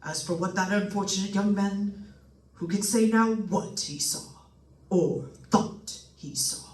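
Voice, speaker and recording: A man playing an ailing, courteous doctor in an old-time radio drama, speaking formally and haltingly. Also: high-pitched. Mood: insistent. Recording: roomy, far from the mic.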